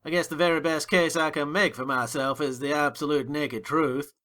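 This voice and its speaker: A man's voice: fairly high pitch, fairly even intonation, moderate pace, bright, forceful tone. A man playing a hot-tempered, defiant American gangster in a dramatic audiobook reading, with slangy, emphatic delivery. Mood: resigned.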